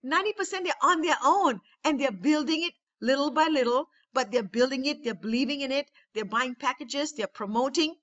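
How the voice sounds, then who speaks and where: A woman's voice: high voice, fairly quick, hardly any pauses, fairly bright tone, dull audio. A woman leading a network marketing training over a webinar, talking enthusiastically and repeating phrases to rally her listeners. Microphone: webinar call.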